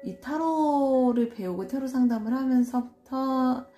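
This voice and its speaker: A woman from Seoul reading and explaining tarot cards in a casual solo video, talking slowly and hesitantly with many fillers and soft laughs. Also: high voice, faint music behind. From Seoul, South Korea. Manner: slow, hesitant, self-deprecating, frequent fillers and soft laughs. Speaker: tarot reader.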